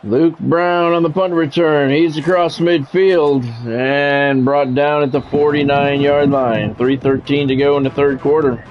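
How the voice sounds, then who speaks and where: A man's voice: fairly high pitch, fairly even intonation, measured pace, few pauses, muffled recording. A man doing radio-style play-by-play over a college football video game, with an announcer's cadence that builds on big plays. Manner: switches between broadcast calls and chatting with viewers. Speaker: gaming streamer doing play-by-play.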